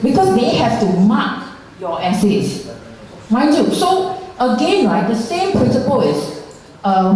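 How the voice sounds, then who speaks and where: A woman teacher lectures a class, her mellow voice drifting through fillers and tag questions. She stands well off the mic. The room rings with heavy echo. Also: medium pitch. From Singapore. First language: not Mandarin. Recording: very echoey room, far from the mic.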